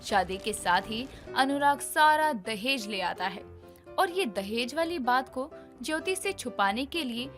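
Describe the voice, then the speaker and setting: A woman narrates a scripted bedtime tale. Her voice is fairly high and very animated in pitch, over clearly audible background music. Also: measured pace.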